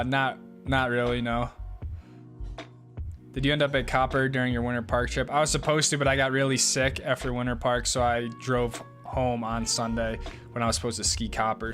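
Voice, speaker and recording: A young man hosting a live stream, reading chat and answering in a relaxed, casual way, laughing often and swearing now and then. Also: medium pitch. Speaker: livestream host reading chat.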